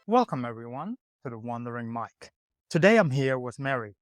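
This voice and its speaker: A man hosting a podcast, talking in an easygoing, halting way with many pauses and "um"s. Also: medium pitch, sing-song, loud music behind the voice. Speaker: podcast host. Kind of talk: casual interview chat. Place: hostel room.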